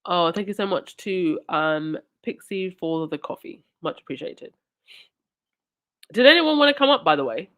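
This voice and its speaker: A woman's voice: lowish voice, animated, moderate pace, fairly bright tone. A woman hosting a live chat stream, thanking viewers and reading comments, indignant at times, with a stuffy nose from a cold. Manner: indignant, talks back to chat and supporters. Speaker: livestream host.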